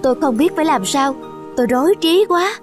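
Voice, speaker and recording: Woman voicing an anxious cartoon cat, fairly high. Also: expressive, a little fast, music in the background. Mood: worried. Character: male cat, anxious reluctant foster parent to a chick. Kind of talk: cartoon character acting.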